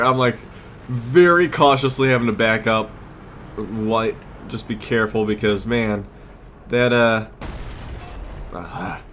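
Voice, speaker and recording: Male gamer, lowish voice, off-the-cuff play-by-play with mutters and exclamations, audible game noise behind. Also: fairly bright tone. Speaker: gamer, let's play host. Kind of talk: casual gameplay commentary. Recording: clear background noise, game ambience.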